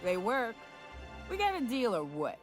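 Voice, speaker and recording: Drawling hungover frontier hunter. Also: female voice, on the high side, huge pitch swings, unhurried. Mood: wheeling, dealing. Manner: coarse, swearing, folksy. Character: rough, hard-drinking frontier woman hunter guide. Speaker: hunting guide. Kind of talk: video game voice acting. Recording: game audio with faint music.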